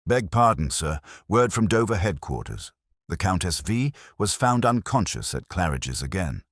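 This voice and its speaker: A man's voice: sits low, big pitch swings, moderate pace, a bit bright, dry recording, studio quality, pitched above the performer's own voice, exaggerated voice. A man voicing a respectful, hesitant police constable in an audiobook. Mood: urgent, respectful. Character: deferential, hesitant police constable bringing word. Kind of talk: audiobook character acting.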